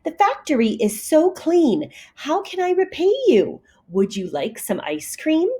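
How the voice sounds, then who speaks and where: Woman playing storybook factory manager, genial man, slow presentational delivery, lively intonation, polite. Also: high voice, pitched above the performer's own voice, exaggerated voice. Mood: grateful. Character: genial male cartoon cat factory manager, long-winded.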